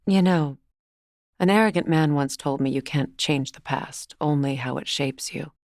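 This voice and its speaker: A woman's voice: sits low, normal speed, a little mellow, no room sound, clean studio sound. An actress playing a proud, middle-aged Norse goddess warrior and grieving mother in a video game, with earnest, formal delivery. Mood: grave, measured. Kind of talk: dramatic game dialogue.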